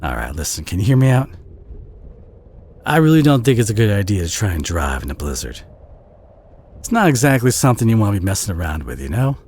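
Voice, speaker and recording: A man acts out a kind country boy with a Southern US accent, speaking straight to the listener in an audio roleplay. His voice is fairly low and husky, with very lively swings in pitch. He comes across as folksy, protective and a little bashful. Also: a little fast, pauses often, full character voice. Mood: earnest concern. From southern United States. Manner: folksy, gentle, hesitant, reassuring.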